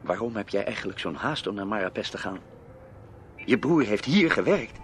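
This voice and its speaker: A man's voice: on the low side, lively intonation, normal speed, natural voice. An actor playing a self-assured, probing man in a radio play, dry and pointed in his questions. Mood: serious, pressing. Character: Tim Valentine, probing, self-assured male acquaintance. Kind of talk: Dutch radio play acting. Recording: noisy old radio play recording.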